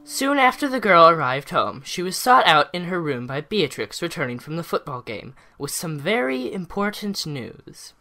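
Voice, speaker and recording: A woman narrating a scripted story as the narrator of an audio drama, in a measured storytelling manner. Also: on the low side, sing-song, music in the background.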